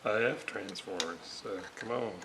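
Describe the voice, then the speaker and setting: A man with a gravelly voice, muttering haltingly to himself as he thinks aloud through technical work and repeats readings. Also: fairly low pitch. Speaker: radio restorer. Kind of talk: thinking aloud while working. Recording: clearly noisy workshop recording.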